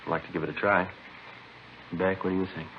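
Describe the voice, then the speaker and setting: An actor playing a terse, cool police detective in a clipped, matter-of-fact vintage radio crime drama style. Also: male voice, low-pitched, level tone, quick pace, a little hiss or hum. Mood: businesslike. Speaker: lead actor and narrator. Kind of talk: 1950s radio crime drama acting, clipped report-like narration. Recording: dull vintage radio recording, music behind.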